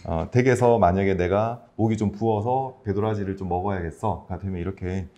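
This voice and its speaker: A man's voice: low voice, a little fast, mellow. A man speaking as a doctor hosting a health show, chatting casually and good-humouredly as he explains things. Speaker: Korean medicine doctor and health show host.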